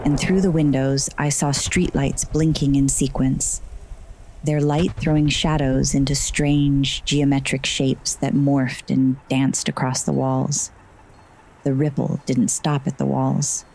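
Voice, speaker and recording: Woman acting a wry frazzled heroine narrating an audio drama, low voice, kinda soft and mellow tone. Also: little pitch movement, takes it slowly, gravelly, slightly rough, heavily acted, rough recording. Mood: tense, atmospheric. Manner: wry, sarcastic asides. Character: sardonic, frazzled woman narrating her magical adventure. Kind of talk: first-person scripted audio drama narration.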